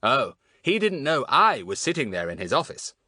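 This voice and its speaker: A man's voice: medium pitch, huge pitch swings, moderate pace, sharp tone, no echo, clean studio sound, voice pushed up, exaggerated voice. A man voicing a clever, boastful schoolboy in a children's audiobook, chatting with self-satisfied relish. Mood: confiding.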